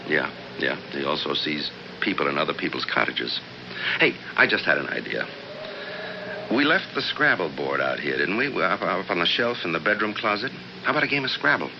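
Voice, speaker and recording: Skeptical American husband, gravelly. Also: male voice, low-pitched, very animated, fairly quick, exaggerated voice. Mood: breezy. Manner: casual, coaxing, hesitant. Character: skeptical, placating husband, practical and slightly nervous. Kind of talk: 1970s radio drama acting. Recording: dull, noisy 1970s radio recording.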